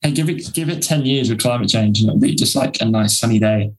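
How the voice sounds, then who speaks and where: Low-voiced English man, jokey podcast co-host. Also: brisk, poor audio. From England. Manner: self-deprecating, jokey. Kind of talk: casual joking chat.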